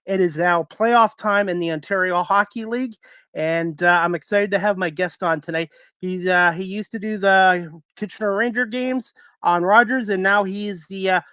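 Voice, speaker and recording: A man's voice: sits high, normal speed, pushed and bright, smooth, no room sound. A man hosting a sports talk radio show, introducing and chatting with his guest.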